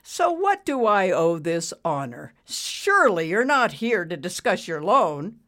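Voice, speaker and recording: A woman's voice: lowish voice, sing-song, measured pace, a bit bright. A woman voicing a rude, arrogant male bank boss in an audiobook, barking and dismissive. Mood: smug, dismissive. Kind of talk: audiobook character voice.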